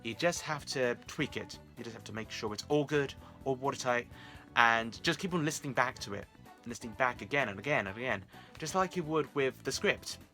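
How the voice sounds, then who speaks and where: A man's voice: mid-range voice, expressive, brisk, pushed and bright, faint music behind. A man talking casually to his viewers as the host of a vlog.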